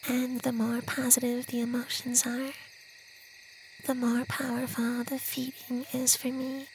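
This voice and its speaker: Slow, high, whispery gentle vampire played by a man. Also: completely flat delivery, slight background noise, rough recording. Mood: gentle, confiding. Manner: soft, breathy, half-whispered, slow and soothing. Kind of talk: ASMR roleplay.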